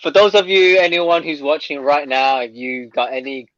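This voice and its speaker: A man's voice: a little high, moderate pace, a bit bright, no room sound, muffled recording. A man hosting a casual online chat about relationships, playful and joking, laughing often and stumbling over his words. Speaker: host.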